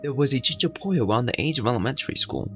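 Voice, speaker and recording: A man's voice: mid-range voice, animated, fast talker, music in the background. A young man playing a horror visual novel, reading the narration aloud with suspenseful emphasis. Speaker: gaming video creator.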